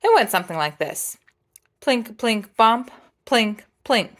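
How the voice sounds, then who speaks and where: Expressive woman reading a storybook. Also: a little high, unhurried. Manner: playful, dramatic phrasing with sung-out jazzy sound effects. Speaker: storyteller reading a picture book aloud. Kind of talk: expressive picture-book read-aloud.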